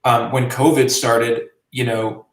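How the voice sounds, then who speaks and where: A man's voice: medium pitch, little pitch movement, slow, recorded from a distance. A man in his late twenties, a musician and journalist being interviewed, talking casually and rambling, with many fillers. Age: 20s. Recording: roomy and from the mic.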